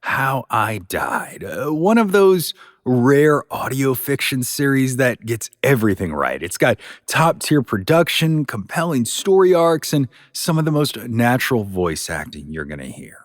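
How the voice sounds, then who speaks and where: A man's voice: mid-range voice, very animated, a little slow, no echo, clean studio sound. A man hosting a podcast, giving a conversational, enthusiastic and emphatic introduction to a sponsored show. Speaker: podcast host.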